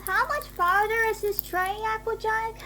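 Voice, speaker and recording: A woman's voice: very high voice, fairly even intonation, a little slow, bright, forceful tone, slight background noise, full character voice. A woman voicing an anxious young filly, a little sister in a pony story. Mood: anxious, impatient.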